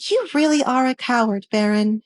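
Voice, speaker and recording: A woman's voice: on the high side, normal speed, no room sound, pitched above the performer's own voice, lightly acted. A woman voicing a hardened young noblewoman in an audio drama, cool and resolute. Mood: scornful. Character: hardened young noblewoman, bride-to-be defying her father.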